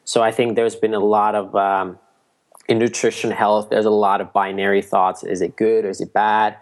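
A man from Finland, his voice low and flat in pitch. He talks as a podcast guest, laying out ideas in a steady, explanatory way. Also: moderate pace. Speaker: guest, mushroom food entrepreneur. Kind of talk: casual interview talk.

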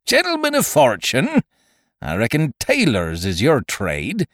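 A man's voice: medium pitch, huge pitch swings, a little slow, fairly bright tone, dry recording, clean studio sound, pitched above the performer's own voice. A man voicing a sly, commanding pirate sea cook in a storybook reading, with rustic sailor speech, contemptuous and menacing. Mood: scornful, bitter. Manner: rustic old-time sailor speech with theatrical emphasis. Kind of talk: dramatised audiobook reading of a classic adventure story.

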